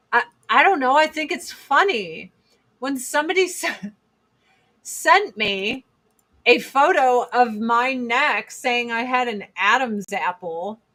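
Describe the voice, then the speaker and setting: A woman in her forties with a deep voice, chatting bluntly and profanely on a casual livestream while doing her makeup. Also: sharp tone. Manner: blunt, profane, sarcastic, reads chat comments aloud. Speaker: livestream host.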